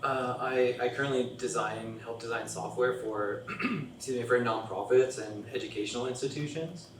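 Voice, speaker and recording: A soft-spoken man speaking on a panel, hesitant and stammering, with self-deprecating humor. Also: medium pitch, moderate pace. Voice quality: quiet voice. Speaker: panelist, software designer. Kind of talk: panel discussion. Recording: echoey hall, distant mic, noise.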